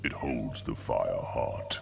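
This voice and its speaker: Very deep gravelly old warrior. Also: male voice, takes it slowly, over loud music, exaggerated voice. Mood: grave, urgent. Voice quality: gravelly, breathy. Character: dying veteran male hero, weary and urgent. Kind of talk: fantasy game voice acting.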